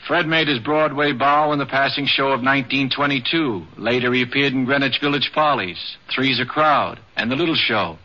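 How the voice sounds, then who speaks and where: A man's voice: mid-range voice, normal speed, hardly any pauses. Man narrating a vintage radio documentary. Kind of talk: scripted 1950s radio narration. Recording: dull, band-limited 1950s radio recording.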